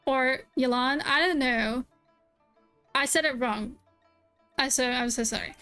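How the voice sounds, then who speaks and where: A woman's voice: high-pitched, measured pace, pushed and bright, clear, resonant tone, faint music behind. A woman streamer giving casual, jokey reaction commentary over a game and talking to her viewers. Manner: chatty, jokey, talks to viewers. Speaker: gaming streamer.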